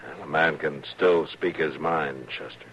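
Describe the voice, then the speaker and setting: A man playing a steady, stern but compassionate American frontier marshal in an old radio western, measured and courteous. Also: a bass voice. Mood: easygoing. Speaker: lawman lead. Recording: muffled, hissy old radio recording.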